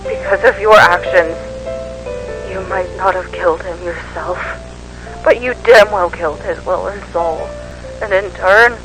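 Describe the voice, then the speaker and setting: A woman playing a grieving, vengeful woman in a dark amateur audio drama, solemn and accusing in her delivery. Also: on the low side, measured pace, music in the background, low-quality recording. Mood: bitter grief.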